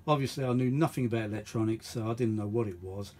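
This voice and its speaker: A man's voice: fairly low pitch, fast, a little mellow. A middle-aged man chatting casually and unscripted as host of a vintage audio video, rambling and thinking aloud, often repeating himself. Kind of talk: casual unscripted hands-on chat.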